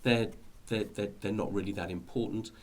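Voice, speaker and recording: A middle-aged British man with a fairly low voice speaks as an informal video host. He explains things in a rambling, hesitant way, often doubling back on his words. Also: fairly even intonation, moderate pace, heavy background noise. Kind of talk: informal explanatory talk to viewers.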